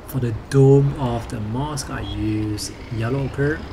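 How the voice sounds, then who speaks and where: A man calmly explaining his sketching and watercolor process in a voice-over. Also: medium pitch. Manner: calm, explanatory, unhurried. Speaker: artist narrating his sketching video. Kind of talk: voice-over commentary on painting. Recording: audible background noise.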